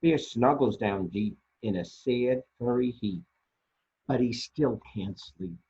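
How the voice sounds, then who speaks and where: A man reading children's picture books aloud as a storytime host, expressively and deliberately, with dramatic pauses and a husky voice. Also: mid-range voice, mellow, dull audio. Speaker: storytime reader for a library.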